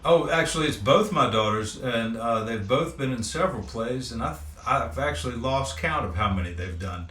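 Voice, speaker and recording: A man's voice: mid-range voice, animated, moderate pace, hardly any pauses, a bit bright. A man speaking as a musician guest in a casual interview chat. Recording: roomy echo, faint crowd behind.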